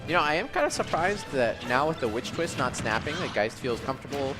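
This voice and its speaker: A man's voice: mid-range voice, big pitch swings, brisk, music in the background. A man giving casual, analytical live commentary on a video game match, conversational and reacting to the plays.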